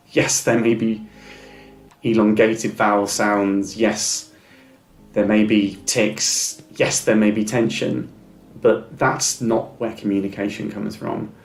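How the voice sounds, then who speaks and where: A middle-aged business advisor who stammers, giving a measured, thoughtful interview answer with small blocks and repeats. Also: male voice, fairly low pitch, level tone, roomy sound, soft background music.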